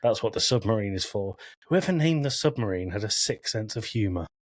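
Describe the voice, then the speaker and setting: A man's voice: lowish voice, expressive, brisk, dry recording, clean studio sound. A man hosting an informal podcast about films and games, talking with jokey asides and some swearing. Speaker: podcast host. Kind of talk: informal film and game discussion, recapping from notes.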